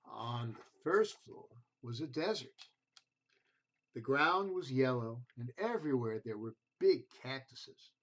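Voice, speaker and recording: Male storybook reader for children: expressive, deliberate delivery that addresses the listener, on a slightly muffled low-fi recording. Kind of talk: children's read-aloud storytelling.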